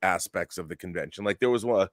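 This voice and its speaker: A man's voice: low-pitched, no room sound, studio quality. A middle-aged man from the Seattle area, hosting a casual podcast chat. He rambles and often restarts his sentences. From Seattle area, United States. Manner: rambling, halting, frequent restarts and fillers. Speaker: podcast host.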